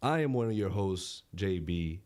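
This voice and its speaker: A man co-hosting a live podcast in a relaxed, joking, slangy way. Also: deep voice, normal speed. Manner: laid-back, joking, slangy. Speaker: podcast co-host. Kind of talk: casual live-stream podcast chat.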